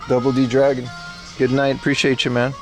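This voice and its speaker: A young man livestreaming as he browses a secondhand shop, chatting excitedly and thanking viewers, recorded on a handheld phone. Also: medium pitch, brisk, faint music behind. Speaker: streamer. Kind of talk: casual IRL livestream chat.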